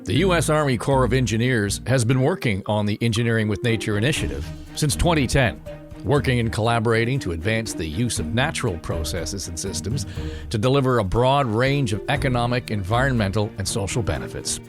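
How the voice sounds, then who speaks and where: A man reading a scripted podcast introduction in a smooth announcer style. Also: medium pitch, expressive, brisk. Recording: guitar music behind.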